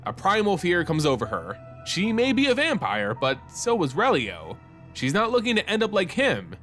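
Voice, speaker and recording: A man hosting and narrating fantasy lore in a scripted, theatrical and expressive storytelling style. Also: high voice, normal speed, faint music behind.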